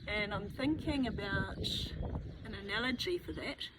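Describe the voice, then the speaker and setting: A woman's voice: mid-range voice, expressive, measured pace. A woman with a New Zealand accent reading a children's book aloud, adding chatty asides and thinking aloud to young listeners. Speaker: children's story reader. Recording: noticeable background noise.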